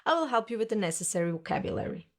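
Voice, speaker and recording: A woman's voice: mid-range voice, big pitch swings, very fast. A woman teaching a recorded language lesson, speaking carefully and repeating each word for learners.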